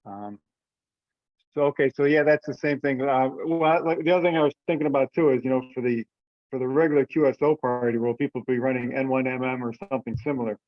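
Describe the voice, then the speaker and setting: A man's voice: medium pitch, steady tone, fast talker, dry recording. Hesitant man, dull lo-fi call audio. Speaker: event organizer presenting rules. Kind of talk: casual meeting discussion. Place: online teleconference call.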